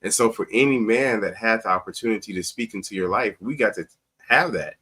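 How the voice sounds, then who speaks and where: A man's voice: on the low side, fairly quick, bright, forceful tone, slightly rough. A man talking as a show guest, giving earnest, conversational advice with emphatic repeated phrases.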